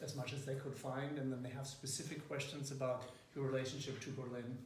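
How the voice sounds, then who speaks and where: A man's voice: medium pitch, little pitch movement, a little fast. Man speaking from across an echoey room. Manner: hesitant, many fillers and restarts. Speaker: interviewer leading a student group. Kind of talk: casual meeting introduction. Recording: echoey room, far from the mic, faint noise.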